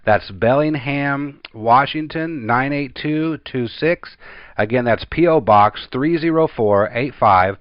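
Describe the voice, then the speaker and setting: Man reading a steady radio announcement. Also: medium pitch, no room sound. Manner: steady, slows down for numbers. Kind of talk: read fundraising announcement. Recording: dull, dry studio sound.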